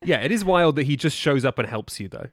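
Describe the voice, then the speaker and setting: A man's voice: a little high, animated, quick pace. A man on a podcast in casual discussion of a book, hesitant and often circling back to clarify. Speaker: podcast panelist.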